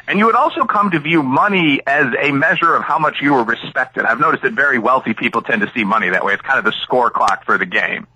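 Male author guest from Chicago, very bright husky tone, lively swings in pitch, thinks aloud. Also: no room sound, rough recording. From Chicago area, USA. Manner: expansive, thinks aloud with frequent asides. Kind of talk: conversational interview answers.

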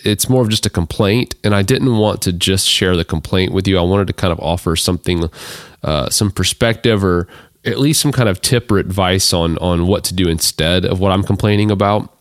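A man with a deep baritone, hoarse from allergies, hosting a casual solo podcast, rambling with many restarts and fillers. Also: moderate pace, no room sound, studio quality. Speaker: podcast host.

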